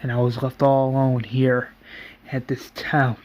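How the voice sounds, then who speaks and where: A man's voice: mid-range voice, little pitch movement, darkish tone, highs cut off, lightly acted. Man playing a pleading, grieving young mother. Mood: pleading, tearful. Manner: halting, imploring, repeats phrases.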